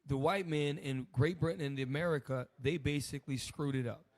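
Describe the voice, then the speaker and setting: A man, hoarse today, hosting a loose, rambling preaching commentary. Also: fairly high pitch, brisk, dry recording. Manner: loose and rambling, many restarts. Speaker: host.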